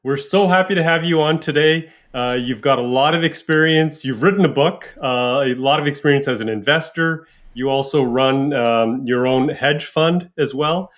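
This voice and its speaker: A man's voice: mid-range voice, normal speed, hardly any pauses, fairly bright tone. A man hosting a podcast interview, upbeat and welcoming, with frequent fillers. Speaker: podcast host.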